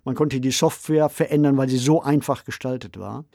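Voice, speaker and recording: A middle-aged or older man co-hosting a podcast, talking in a measured, deliberate and articulate way. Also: mid-range voice, fairly even intonation, gravelly, warm tone, no echo, studio quality. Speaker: podcast co-host. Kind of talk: conversational podcast discussion.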